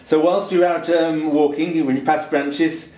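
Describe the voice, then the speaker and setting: A man's voice: fairly high pitch, fairly even intonation, a little slow, darkish tone, low-quality recording. A man in his fifties, a holiday rental host, patiently explaining a task step by step with frequent ums, recorded on a handheld camera in a stone-walled kitchen. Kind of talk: instructional tutorial.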